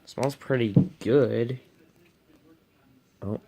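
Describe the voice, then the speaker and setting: A man talks casually to himself as he works through setting up a device on camera. His voice sits at a middle pitch. The recording is clearly noisy, like an amateur home video. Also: extremely slow. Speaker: hobbyist reviewer. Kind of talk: casual unboxing and setup commentary.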